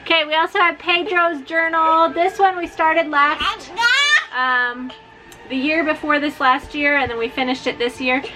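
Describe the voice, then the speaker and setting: A woman's voice: sits high, steady tone, unhurried, music in the background, low-quality recording. A woman talking in a casual, informal vlog style as a homeschooling mother presenting books. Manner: informal, often breaks off to talk to her small children.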